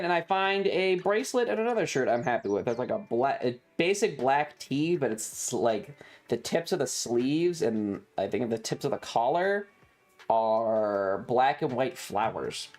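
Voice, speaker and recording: Casual game streamer: unhurried, rambling, wry and sarcastic, very lively intonation, faint music underneath. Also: medium pitch. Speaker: livestreamer. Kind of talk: casual livestream chat while gaming.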